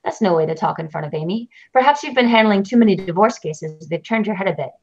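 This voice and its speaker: A woman's voice: mid-range voice, expressive, quick pace, a little mellow. A woman voicing a husband with a temper, answering in flustered, blustering retorts. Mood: angry. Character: man with a temper, bickering husband. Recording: dull lo-fi recording.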